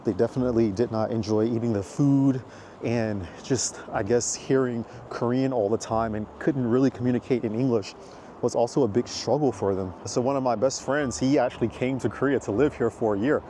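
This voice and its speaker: An American man talks in a rambling, chatty way, full of fillers, while walking outdoors with a handheld camera. His voice is mellow and of middling pitch. Faint traffic hums in the background. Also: fairly quick. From United States. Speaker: vlogger. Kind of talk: casual walking vlog. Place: outdoors in a city park walkway.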